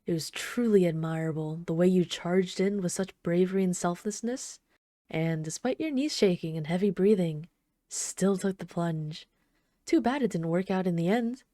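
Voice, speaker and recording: A woman playing an obsessive, flirtatious villain in an intimate ASMR roleplay, speaking softly and unhurriedly with teasing, casual menace. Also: on the low side, moderate pace, dry recording, studio quality, close to an everyday voice. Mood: admiring, musing. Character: obsessive yandere villain, flirtatious bomber calmly toying with trapped hero.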